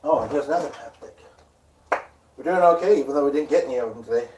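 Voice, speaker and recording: A man's voice: medium pitch, fast, noisy background. Rambling man live-streaming from his piano. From Hungarian. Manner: rambling, talks to viewers while setting up. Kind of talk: casual live-stream chat. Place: at home by a piano. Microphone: phone.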